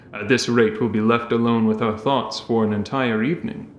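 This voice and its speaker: A man's voice: mid-range voice, fairly even intonation, moderate pace, highs cut off, lightly acted. A man playing a courteous, restrained dwarf who speaks formally and politely in an audio drama. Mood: bemused. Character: courteous, earnest, restrained male dwarf in armor. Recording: roomy echo, faint noise.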